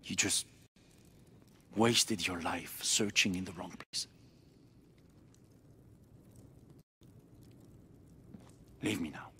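An actor playing a proud, driven middle-aged cult leader in a video game cutscene, intense and grandiose, moving between hushed and declamatory. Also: male voice, sits low, a little slow, a little hiss or hum. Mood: cold, bitter.

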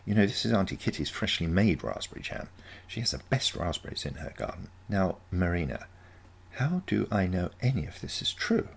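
A man's voice: low-pitched, sing-song, brisk, vocal fry, slightly rough, exaggerated voice. A man voicing a wry, sarcastic, skeptical grandmother in a short story reading.

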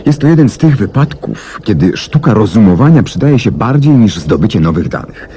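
A low male voice as a self-assured, brilliant detective in a 1970s radio drama. He speaks deliberately and articulately, with very varied melody and a courteous, methodical manner. The old recording carries faint noise. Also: big pitch swings, warm tone. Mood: reflective, explanatory.

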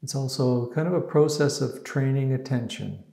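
A man leading a guided meditation as a teacher, speaking in an unhurried, soothing way with gentle pauses. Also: mid-range voice, gravelly. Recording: echoey, roomy sound.

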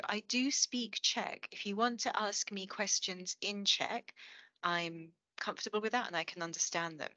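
A woman's voice: lowish voice, sharp tone, dry recording, low-quality recording. A woman in her thirties giving a chatty online lecture over a video call, with plenty of fillers and self-corrections. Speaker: guest lecturer. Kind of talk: online presentation. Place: home room, on a video call.

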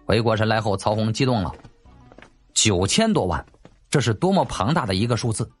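A man's voice: on the low side, animated, fairly quick, slightly rough, soft background music. A man narrating a scripted story as a dramatic audiobook storyteller.

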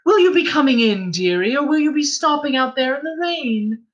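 A motherly old innkeeper's wife fusses over a guest in a high, lilting, folksy voice, as a male reader puts her on. Her talk is chatty and coaxing, with a bright, sharp edge to the tone. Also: animated, normal speed, dull audio.

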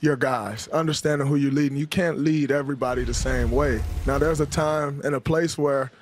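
A young man guest on a postgame studio set, speaking into a handheld mic in a relaxed, thoughtful way with many fillers. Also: a little high, brisk, a little hiss or hum. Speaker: guest player.

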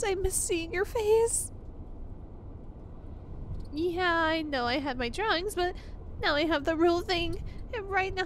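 A woman's voice: very high voice, slight background noise. A woman playing a clingy, obsessive young girlfriend in an ASMR roleplay, sweet, gushing and emotional, with an apologetic, hesitant way of talking. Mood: adoring.

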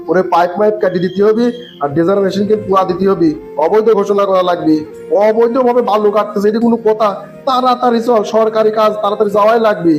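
Corrupt, bossy cartoon official male actor, high voice, northern Bangladeshi accent, smugly condescending. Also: lightly acted. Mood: bossy, urgent. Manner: patronising, smugly explanatory. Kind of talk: comic cartoon dialogue.

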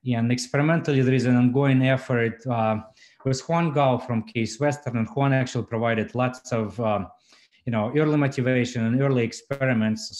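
A man's voice: mid-range voice, darkish tone. Man lecturing with many fillers and restarts. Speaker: physics lecturer. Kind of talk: academic seminar talk over video call. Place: home room indoors. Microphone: laptop webcam on video call.

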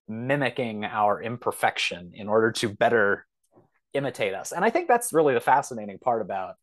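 Guy in his 30s chatting on a podcast, average pitch, kinda rambly, keeps correcting himself. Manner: rambling, self-correcting, hedging. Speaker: podcast guest, author. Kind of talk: casual explanatory conversation.

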